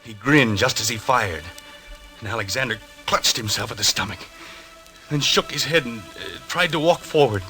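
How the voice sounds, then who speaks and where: An agitated husband, sharp and swooping. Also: male voice, mid-range voice, expressive, normal speed, faint music behind. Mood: bitter, distraught. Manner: indignant, obsessive, emphatic. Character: agitated, haunted husband obsessed with a dream enemy. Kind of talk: 1940s radio suspense acting. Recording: vintage radio drama recording.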